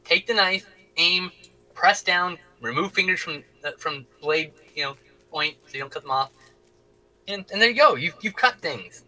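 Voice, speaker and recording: A man's voice: fairly high pitch, expressive, normal speed, sharp tone, poor audio. A man who co-hosts a geek chat, talking casually and jokily, swearing freely as he explains things. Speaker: co-host of a weekly geek chat show. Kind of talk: casual joking group chat.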